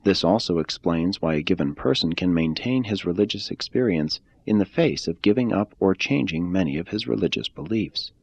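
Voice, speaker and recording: A man reading a religious text aloud in a measured, deliberate way. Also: low voice, animated, creaky, husky, no room sound, dull audio. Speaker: reader.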